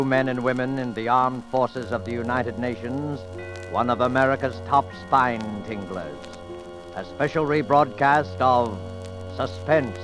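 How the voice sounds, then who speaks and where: Man, mid-pitched, formal vintage radio host, slow-building ominous delivery, orchestral music behind. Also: poor audio. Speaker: announcer and host. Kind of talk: 1940s radio scripted narration. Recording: old 1940s radio broadcast recording. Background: dramatic orchestral music.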